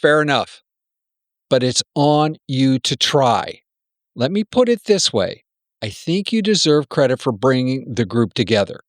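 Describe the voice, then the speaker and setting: Give the part of a guarded software executive to a man acting it out. He is blunt and persuasive, always cautioning, with pitch that moves around a lot. The radio-play dialogue is recorded close and dry. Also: very animated, measured pace, no echo. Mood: guarded, advising. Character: wary, self-protective male senior software executive.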